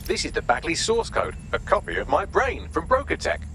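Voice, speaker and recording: An actor voicing a chatty, sardonic AI assistant in a video game, dry and wordy. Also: male voice, a little high, huge pitch swings, fairly quick, gravelly, pushed and bright, slight background noise, heavily acted. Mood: briskly analytical. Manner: wry, sardonic, verbose.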